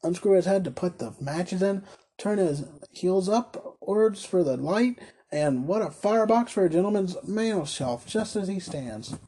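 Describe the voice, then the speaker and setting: Guy doing a dreamy meek little toymaker guy, storybook style, high raspy voice, deferential. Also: animated, unhurried, warm tone, full character voice. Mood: wondering, admiring. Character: meek, dreamy male toymaker, quaintly enthusiastic. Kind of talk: storybook character reading.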